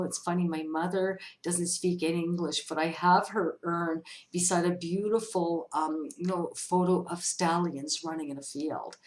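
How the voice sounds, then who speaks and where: A woman hosting a casual question-and-answer vlog as a medium, speaking directly to her viewers in a chatty, expressive way. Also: fairly low pitch. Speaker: vlog host, psychic medium.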